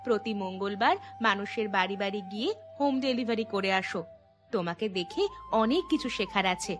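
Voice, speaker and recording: A woman's voice: medium pitch, normal speed, natural voice. A woman voicing a friendly village customer in a moral-story cartoon, chatty, emphatic and neighbourly. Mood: friendly, admiring. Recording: background music under the voice.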